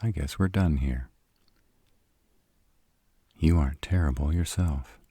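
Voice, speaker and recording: A man playing a sarcastic, brooding vampire with a soft spot, dry and grudging, in a roleplay audio drama. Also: very deep voice, takes it slowly, husky, dry recording, exaggerated voice. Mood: curt, dismissive.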